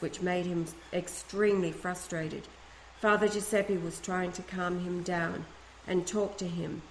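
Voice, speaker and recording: A woman reads a first-person letter aloud, her voice sitting a little low. She keeps a steady, earnest pace with the odd restart. The recording rings with room echo over a faint ambient hum. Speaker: reader of a letter.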